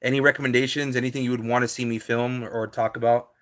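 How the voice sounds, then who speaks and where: A man's voice: medium pitch, little pitch movement, fast, a bit bright. Laid-back guy chatting on a live stream. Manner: laid-back, chatty, many fillers, reads chat aloud and answers it. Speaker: reptile breeder hosting a live stream. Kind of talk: casual live stream chat.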